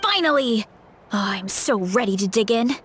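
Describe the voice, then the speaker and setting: A woman's voice: a little high, lively intonation, moderate pace, fairly bright tone, a little hiss or hum. An actress playing a sweet, modest young woman with a big appetite in a video game. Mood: eager.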